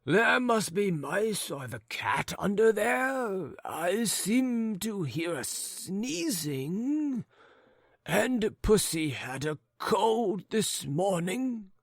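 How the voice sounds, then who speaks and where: A man's voice: sits high, big pitch swings, very slow, vocal fry, no echo, clean studio sound, pitched above the performer's own voice, full character voice. A man reading an audiobook, voicing a fussy, bewildered old husband who calls out anxiously. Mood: puzzled. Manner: fussing, calling out anxiously. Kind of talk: audiobook character voice.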